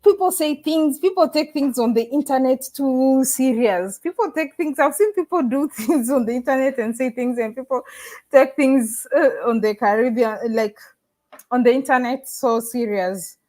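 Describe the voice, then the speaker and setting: A woman from Africa, with a voice pitched a little above the usual, hosts a casual live stream from her Caribbean home. She reads comments aloud and talks right to her audience. She often stops partway through a sentence and starts it again. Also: moderate pace, a little mellow. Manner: chatty, restarts sentences, addresses viewers directly. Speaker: YouTuber livestreaming and reading chat. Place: living in the Caribbean.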